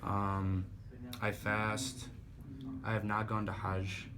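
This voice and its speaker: Young man, 19: low-ish, flat delivery, relaxed and casual, crowd noise behind. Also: slow pace. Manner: relaxed, easygoing, "like" and "actually" often. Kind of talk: casual interview answers.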